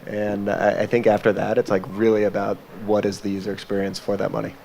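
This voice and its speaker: A man speaking frankly on a conference panel, measured and slow in places. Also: lowish voice, flat delivery, vocal fry, darkish tone, a little hiss or hum.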